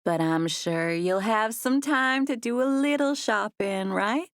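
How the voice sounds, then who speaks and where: A woman voicing an entitled young Southern woman who speaks in a slow, syrupy drawl in an audiobook. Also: on the high side, no echo, studio quality, voice pushed up, lightly acted. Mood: coaxing.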